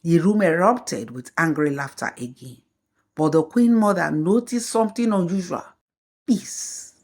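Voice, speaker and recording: A woman telling a folktale as scripted storybook narration, in a slow, measured way. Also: lowish voice, expressive, darkish tone.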